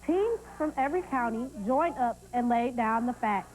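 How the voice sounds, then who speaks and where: A woman's voice: on the high side, animated, moderate pace, low-quality recording. A woman reading scripted narration for a television feature. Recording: loud music under narration. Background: loud music.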